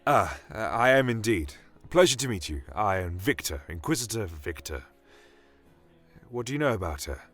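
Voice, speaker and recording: Guy doing a firm church inquisitor, courteous, deep voice, fantasy story with music. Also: big pitch swings, a bit bright. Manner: polite, deliberate, authoritative.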